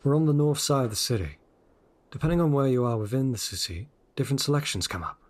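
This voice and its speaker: An actor playing a calm, assured, persuasive guide in a sci-fi audio drama, speaking in a low-key, coaxing way. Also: male voice, fast talker, close to an everyday voice. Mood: casually proud. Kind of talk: full-cast audio drama acting.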